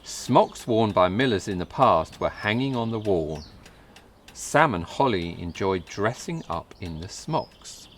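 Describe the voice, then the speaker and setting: Slow-reading, low-voiced man telling a children's story. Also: expressive, slight background noise. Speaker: storybook reader. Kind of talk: scripted children's story narration.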